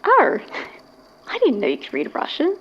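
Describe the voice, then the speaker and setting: A woman's voice: sits high, wildly swooping pitch, unhurried, heavily acted. A woman playing a friendly, teasing colleague in casual audio drama dialogue. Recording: muffled, noisy, a bit distant.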